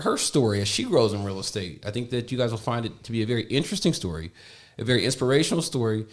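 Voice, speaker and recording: Upbeat male podcast host. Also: medium pitch. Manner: upbeat, prompting questions, restarts. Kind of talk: casual podcast interview.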